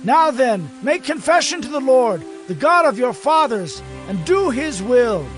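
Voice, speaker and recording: An actor playing a grieving, devout priest in a dramatized audio Bible, solemn and declamatory. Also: male voice, extremely high pitch, very animated, unhurried, faint music behind, exaggerated voice. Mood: stern, urging. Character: grieving, devout priest pleading and admonishing his people.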